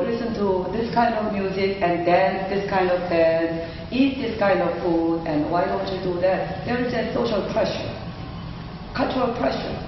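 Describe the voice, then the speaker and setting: In a very reverberant hall, a woman speaks far from the microphone. She answers questions like a lecturer, reflective and halting, then emphatic. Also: medium pitch. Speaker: professor and p'ansori singer answering questions. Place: large reverberant room at a university presentation. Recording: echoey hall, distant mic, muffled, noisy.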